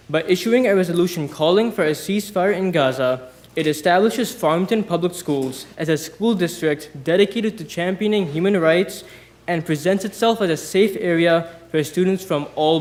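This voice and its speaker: A teenage male student reading a prepared formal speech at a board meeting, earnest and measured. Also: on the high side. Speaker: student speaker at a public meeting. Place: school board meeting room.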